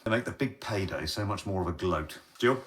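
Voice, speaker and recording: A self-assured scientist in charge of a risky sound project. His voice sits very low, with the pushy confidence of a man always selling his next scheme. It is a radio drama performance. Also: expressive, brisk, fairly bright tone, a little hiss or hum. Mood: boastful. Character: boastful scheming male scientist boss. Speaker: project leader.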